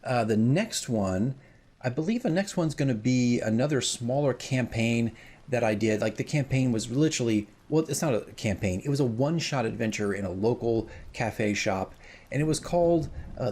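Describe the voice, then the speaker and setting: A man with a husky, medium-pitched voice talks as a casual host. His commentary rambles along informally, with a self-deprecating manner. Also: darkish tone. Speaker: host, dungeon master, radio drama creator.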